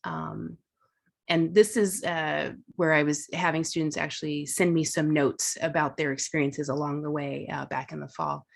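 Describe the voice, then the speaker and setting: A woman's voice: sits low, fairly even intonation, moderate pace, gravelly. Woman presenting at a teaching workshop. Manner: casual, thinking aloud with fillers and restarts.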